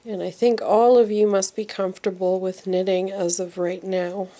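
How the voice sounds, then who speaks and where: A woman's voice: medium pitch, flat delivery, normal speed, mellow. A woman teaching knitting step by step, sounding congested from a cold and repeating each move patiently. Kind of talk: slow step-by-step craft tutorial.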